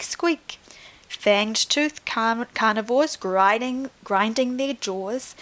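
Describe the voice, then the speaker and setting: A flamboyant man who runs a magical shop, acted out by a woman reading a storybook. He is boastful and theatrical, rolling out his welcome at a slow, showy pace. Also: a little high, a bit bright, full character voice. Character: flamboyant boastful man, showman dinosaur shopkeeper. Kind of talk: storybook read aloud.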